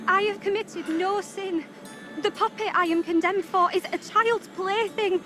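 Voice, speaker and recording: Give the scene an accused 17th-century villager, fearful and earnest. Her voice is very high and she pleads slowly in archaic phrasing, with game music clearly behind her. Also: steady tone, fairly bright tone. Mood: desperate pleading. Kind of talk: dramatic video game acting.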